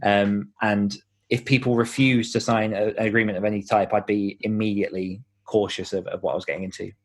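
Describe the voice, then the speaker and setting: A man's voice: fairly low pitch, little pitch movement, brisk. A man speaking casually as a podcast guest, an author who explains things in a chatty way with imagined examples. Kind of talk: casual interview conversation.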